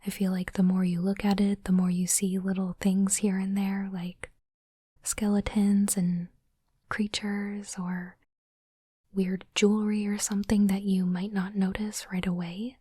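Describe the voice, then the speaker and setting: A woman doing ASMR in a soft, breathy whisper, speaking in a hushed, deliberate way as she hosts an unboxing. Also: mid-range voice, flat delivery, dry recording, clean studio sound. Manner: slow, deliberate, hushed delivery. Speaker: host of ASMR card unboxing channel. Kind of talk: ASMR whispering, close and gentle narration.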